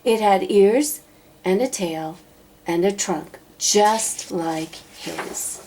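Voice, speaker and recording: A woman narrating a children's storybook aloud, expressively, with dramatic drawn-out emphasis. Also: medium pitch, a little mellow, slight background noise. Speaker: storybook reader.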